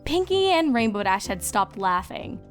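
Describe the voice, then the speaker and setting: A woman narrates a scripted story in a high voice. Music plays softly behind her reading. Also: sing-song, moderate pace. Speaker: fan fiction reader.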